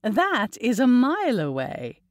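A woman reading an audiobook, voicing a spirited young bride with a lively, playful manner. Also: fairly high pitch, measured pace, no room sound, clean studio sound, voice pushed up. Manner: lively, playful, indignant at times.

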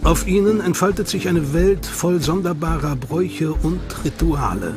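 A man giving measured, serious scripted narration for a documentary. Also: fairly high pitch, animated. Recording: music bed under voice.

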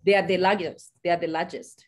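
A woman's voice: fairly low pitch, steady tone, fast talker. A woman in her thirties from South Africa's Eastern Cape, a native Xhosa speaker with a South African accent, talking as a guest on a video call and often tagging "right?" onto her points. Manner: warm, emphatic, often tags "right?". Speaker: guest, family physician. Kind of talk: casual interview conversation. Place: home office with patterned wall.

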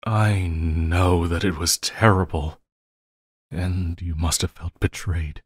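A man playing a lovesick, possessive supervillain in an intimate audio roleplay, pleading haltingly and using tender endearments. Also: sits low, fairly even intonation, slightly rough, no echo, studio quality, full character voice. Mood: pleading, remorseful. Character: lovesick, possessive yandere supervillain pleading with his beloved hero.

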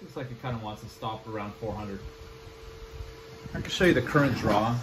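A man giving a casual, halting hands-on demo as a radio hobbyist, thinking aloud as he goes. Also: fairly low pitch. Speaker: amateur radio hobbyist demonstrating equipment. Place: small indoor room with radio gear. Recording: steady air-conditioning noise in room.

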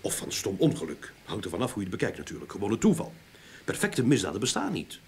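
A man's voice: lowish voice, huge pitch swings, fast, darkish tone. A male private detective in an old radio play. He is courteous, sure of himself and persistent, explaining things politely. The recording carries background noise. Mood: musing, confident. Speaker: actor in a radio drama. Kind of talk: Dutch-language radio play acting.

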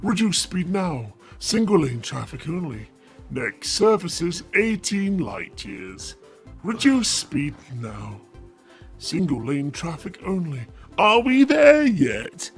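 Man, fairly high voice, unhurried, theatrical mock-epic reading, declaiming, faint music behind. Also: very animated. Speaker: story reader.

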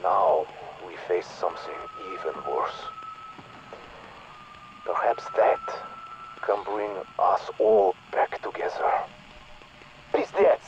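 An actor playing an earnest male Russian scientist speaking over a radio, with a Russian accent and a slow, measured manner. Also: low-pitched, wildly swooping pitch, muffled recording, background noise, exaggerated voice. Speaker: in-game radio character. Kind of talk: recorded game dialogue.

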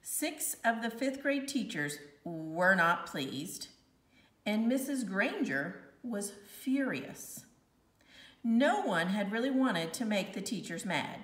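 A woman narrating a children's novel aloud, with expressive, emphatic, deliberate phrasing. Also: medium pitch. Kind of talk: read-aloud storytelling. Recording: roomy, slightly distant home recording.

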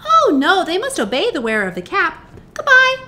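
Storybook mouse queen: tiny, squeaky, very high, helpful and brisk. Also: huge pitch swings, bright, forceful tone, heavily acted. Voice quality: squeaky, little.

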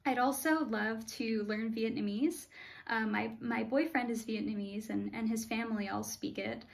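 A woman's voice: on the high side, fairly even intonation, moderate pace, creaky. A young American woman answering interview questions at home in a friendly, thoughtful way, with frequent "uh" fillers. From United States. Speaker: interview guest. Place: small room at home.

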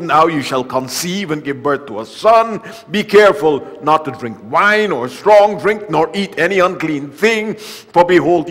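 Older preacher in a reverberant church. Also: male voice, mid-range voice. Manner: wry humor, swings from loud emphatic reading to quiet asides. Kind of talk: sermon with scripture reading. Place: church sanctuary at a lectern. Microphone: lectern mic, far camera. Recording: long church hall reverb.

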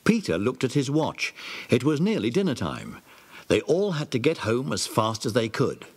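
A man narrating a children's audio drama with animated storytelling. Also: medium pitch, moderate pace, breathy edge.

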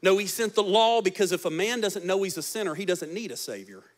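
A man in his forties preaching a sermon as pastor on a large church stage into a handheld mic, swinging between loud emphatic lines and soft, low ones. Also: lively intonation, fairly quick.

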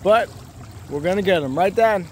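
A man narrating his fishing trip from a small boat on a lake, chatty and excited, talking straight to the camera. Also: high-pitched, normal speed. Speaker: fishing vlogger. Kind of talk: casual narration while fishing. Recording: faint outdoor noise.

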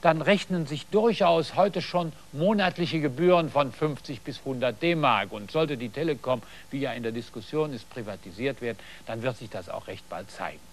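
A middle-aged man presents a TV hobby programme from a studio garden set. He talks straight to the viewers in a didactic, explanatory way. His voice sits at an ordinary pitch and is picked up by a lapel mic. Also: normal speed, hardly any pauses, a little hiss or hum. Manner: didactic, addresses viewers directly. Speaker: TV host.

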